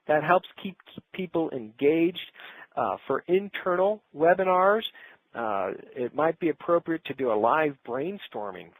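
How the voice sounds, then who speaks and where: A man's voice: on the high side, expressive, measured pace, no echo, phone-quality sound. A middle-aged man presenting a business webinar in an informal, conversational and instructive way, speaking directly to his audience.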